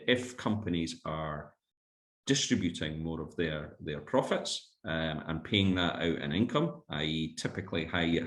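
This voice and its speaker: Very low-voiced man explaining investments. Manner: explanatory, with fillers and restarts. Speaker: fund manager presenting a webinar.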